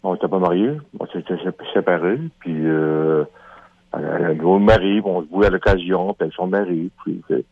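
A Québécois man in his thirties rambles into a telephone handset, hesitant and full of fillers. His low voice sounds husky, and the line comes through dull and muffled. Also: fairly even intonation, fairly quick, no room sound. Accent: Québécois French. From Quebec. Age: 30s. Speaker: radio caller. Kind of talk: casual phone-in chat.

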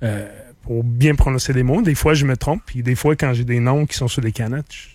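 A man's voice: mid-range voice, brisk, darkish tone, no echo. A middle-aged man whose first language is English, with a slight English accent, chatting casually on the radio as a beer columnist and explaining things in detail. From English-speaking.

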